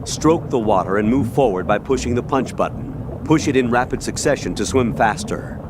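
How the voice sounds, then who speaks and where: A man's voice: medium pitch, lively intonation, moderate pace, background noise, close to an everyday voice. An actor playing an authoritative male military instructor in a video game, explaining controls methodically. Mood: firm, instructive. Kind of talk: video game tutorial briefing.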